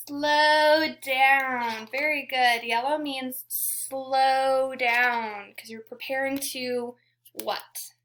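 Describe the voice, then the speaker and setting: A woman's voice: sits high, bright, forceful tone. A woman teacher reading a picture book aloud to young children, asking questions and giving frequent encouraging praise. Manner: encouraging, prompting, repeats praise to listeners.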